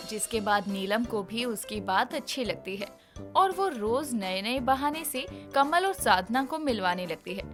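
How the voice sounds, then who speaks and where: A woman narrating a scripted story as its narrator. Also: fairly high pitch.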